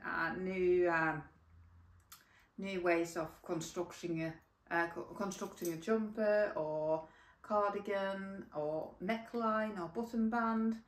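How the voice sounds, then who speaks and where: A woman's voice: medium pitch, a bit bright, recorded from a distance. A middle-aged woman from Finland, chatting casually as a podcast host at home, rambling with many fillers. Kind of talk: casual chat. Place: home room by a yarn cupboard. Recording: echoey room, voice set back from mic.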